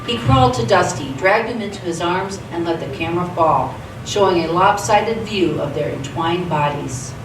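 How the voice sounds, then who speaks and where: A woman's voice: on the low side, normal speed. A woman reading scripted narration as the narrator of a live radio theatre play. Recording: echoey theatre, distant mic, clear background noise.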